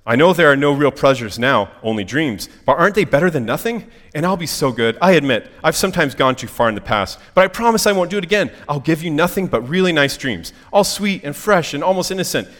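A man's voice: fairly high pitch, lively intonation, fast talker, few pauses, fairly bright tone, in a large hall. A man voicing a small lizard that pleads and wheedles in eager chatter for a story. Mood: wheedling.

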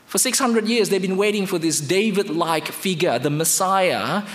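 A man from Indonesia, speaking English as his second language, preaching a sermon with emphatic highs and quiet lows. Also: normal speed. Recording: reverberant hall.

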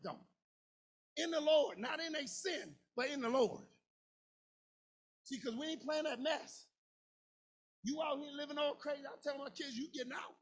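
A man in his forties preaching a sermon, impassioned and colloquial, swinging between shouted lines and a half-sung cadence. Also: very high voice. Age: 40s. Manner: colloquial, informal grammar.

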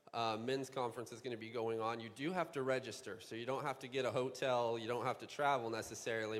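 A youth pastor giving lively, joking announcements from the church platform. His mid-pitched man's voice carries through a large sanctuary with a long ringing reverb. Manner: upbeat, joking, with bursts of excitement. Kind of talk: church announcements and humorous introduction.

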